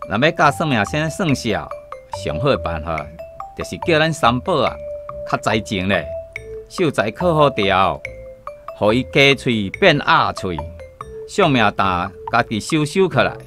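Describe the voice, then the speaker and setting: Measured, placating Taiwanese father. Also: mid-range voice, sing-song, over music. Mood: placating, advising. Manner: measured, reasoning. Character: reasonable, placating gentleman father of a student. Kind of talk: Taiwanese radio drama acting.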